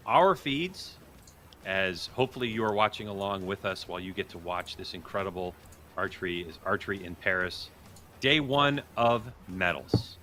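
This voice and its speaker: Guy doing live sports commentary as host, lowish voice, kinda bright and punchy, bit of noise behind. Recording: noticeable background noise.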